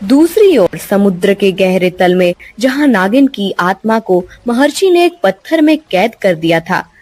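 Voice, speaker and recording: A woman's voice: medium pitch, darkish tone. A woman narrator reading a scripted story for a cartoon.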